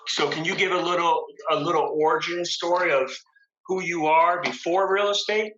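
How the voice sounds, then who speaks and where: A man's voice: fairly high pitch, fairly even intonation, moderate pace, fairly bright tone, slight background noise. A man hosting a podcast interview, asking curious follow-up questions and often repeating his openings. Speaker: podcast host. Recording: echoey room, dull lo-fi sound.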